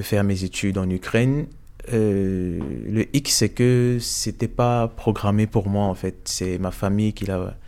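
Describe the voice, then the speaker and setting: A young man from Cameroon giving a hesitant, soft-spoken personal testimony in an interview, with many fillers. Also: fairly low pitch.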